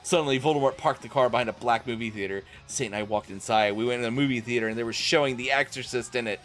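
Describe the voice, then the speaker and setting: A man's voice: mid-range voice, fast, fairly bright tone. A man doing a comic dramatic reading as a streamer, with exasperated, incredulous asides. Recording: music playing behind the voice. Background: music.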